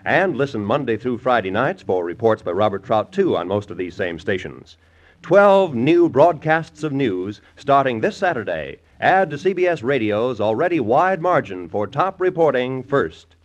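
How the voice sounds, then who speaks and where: A man's voice: medium pitch, very animated, moderate pace, dull audio. A man announcing for old-time network radio in a polished, formal, promotional style.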